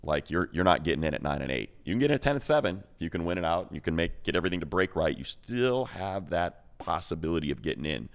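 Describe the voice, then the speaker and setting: Very deep-voiced man talking football. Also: very animated, fast, vocal fry, few pauses, clean tone. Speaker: sports show analyst. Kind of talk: casual football analysis.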